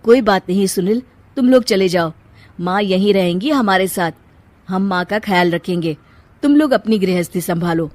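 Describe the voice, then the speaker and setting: A woman voicing a calm, forgiving stepson and husband in a cartoon story, gentle and soothing. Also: medium pitch, normal speed, no room sound, close to an everyday voice.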